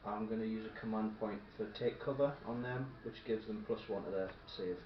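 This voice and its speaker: A man's voice: fairly low pitch, brisk. A man chatting casually over a tabletop game, explaining rules to his opponent. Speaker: tabletop wargame player coaching opponent. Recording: muffled, echoey room with faint noise.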